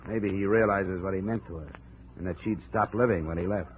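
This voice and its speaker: A man's voice: low-pitched, steady tone, a little fast, close to an everyday voice. A man acting a tough, cynical investigator in a 1950s radio detective drama, speaking in a clipped, hard-boiled manner. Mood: hard, resolute. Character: tough, cynical insurance investigator leading a jungle manhunt. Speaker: narrator and lead actor. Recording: scratchy, narrow-band 1950s radio recording.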